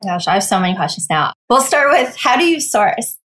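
A woman's voice: mid-range voice, very animated, fairly quick, fairly bright tone, roomy sound. A woman hosting a podcast, presenting and interviewing in a casual, conversational style. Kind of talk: scripted intro and casual interview.

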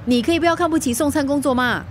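An actress playing a harried, plucky working mother with a Singaporean accent, expressive and earnest in a radio drama. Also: sits high, racing, slight background noise, close to an everyday voice. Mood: exasperated, earnest. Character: harried, plucky working mother turned food delivery rider.

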